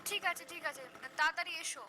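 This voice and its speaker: Woman acting a shrill, impatient young caller. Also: fast, bright, forceful tone, exaggerated voice. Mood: impatient, nagging. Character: impatient, nagging young woman on a phone call.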